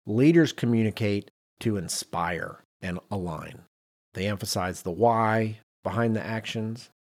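Male podcast co-host, low register, conversational but emphatic explainer who repeats points for effect. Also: unhurried, darkish tone. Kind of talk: conversational podcast discussion on leadership.

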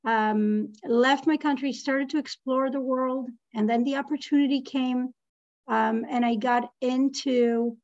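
A woman's voice: sits high, steady tone, a little slow, a little mellow, muffled recording. A woman in her thirties, originally from Israel, speaking as a guest in an interview, hesitant with many fillers and restarts. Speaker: guest, real estate lending executive.

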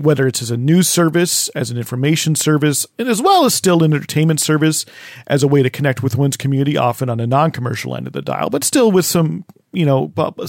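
A man hosting an interview, framing long, thoughtful questions with pauses and fillers. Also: fairly high pitch, no room sound, studio quality. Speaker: host.

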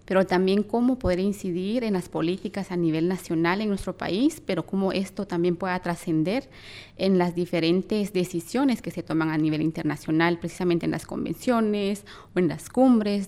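A woman's voice: on the low side, normal speed, a little mellow. A young woman with a Guatemalan accent, speaking earnestly as an activist guest in an interview. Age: young adult.

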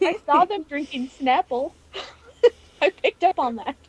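A woman's voice: high voice, animated, a little slow. A woman co-hosting a casual TV recap podcast, recorded with a dry, somewhat muffled sound. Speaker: podcast co-host. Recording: dry, dull lo-fi microphone sound.

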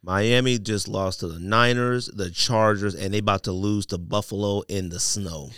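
A man's voice: on the low side, fairly even intonation, normal speed, a bit bright, clean tone, dry recording, clean studio sound. A man co-hosting a podcast, casually bantering about sports picks in slangy, opinionated language. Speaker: podcast co-host.

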